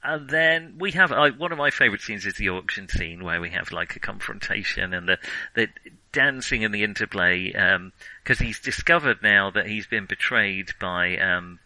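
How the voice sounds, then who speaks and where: British guy on a film podcast, really bright forward voice, bit halting, dry sound. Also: on the low side, lively intonation, no room sound, poor audio. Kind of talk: casual film discussion.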